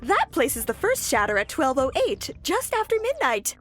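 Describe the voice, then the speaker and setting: A woman's voice: sits high, wildly swooping pitch, moderate pace, a bit bright, soft background music, exaggerated voice. A woman playing a quirky, analytical young woman in an anime-style audio drama, precise and pedantic. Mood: matter-of-fact.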